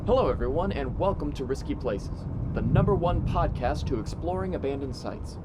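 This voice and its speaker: A man's voice: on the high side, animated, normal speed, close to an everyday voice. A young man playing an eager podcaster who explores abandoned sites, chatting earnestly to his listeners. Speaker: podcaster character. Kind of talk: radio drama narration, podcast intro. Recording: dull lo-fi audio with loud background noise.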